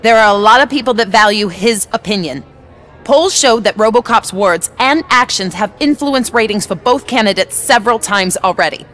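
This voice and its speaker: A woman's voice: mid-range voice, moderate pace, a bit bright, lightly acted. A woman playing a persistent news reporter in a video game, with formal, probing delivery. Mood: insistent. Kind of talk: video game cutscene acting.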